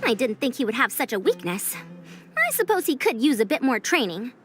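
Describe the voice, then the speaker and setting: A woman's voice: a little high, sing-song, fairly quick, faint music behind. A woman voicing a proud, self-assured veteran scholar in a video game, slightly haughty with old-fashioned phrasing. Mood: curious, brisk. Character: confident, proud, brisk female veteran scholar. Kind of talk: voiced video game dialogue.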